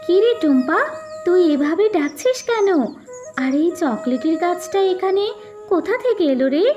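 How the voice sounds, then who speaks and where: A woman's voice: very high-pitched, animated, normal speed, dark tone, highs cut off, faint music behind. A woman voicing an earnest, caring, devout village mother in a cartoon. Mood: puzzled. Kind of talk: cartoon character voice.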